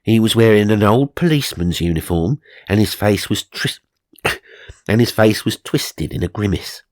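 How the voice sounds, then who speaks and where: A man's voice: fairly low pitch, moderate pace, darkish tone, dry recording, studio quality. A man narrating supernatural magazine stories for comedy, mock-serious and dramatic, with wry asides. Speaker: narrator and host.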